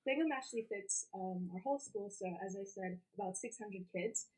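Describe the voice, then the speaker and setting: Fast-talking teenage girl giving a tour. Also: medium pitch, a little mellow, rough recording. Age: teens. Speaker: student tour guide. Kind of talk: scripted tour narration.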